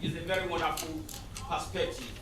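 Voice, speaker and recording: A man in a film audience giving effusive, halting thanks to the panel. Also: a little high, very noisy. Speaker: audience member. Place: cinema auditorium. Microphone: point-and-shoot camera across the room. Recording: echoey hall, distant camera mic.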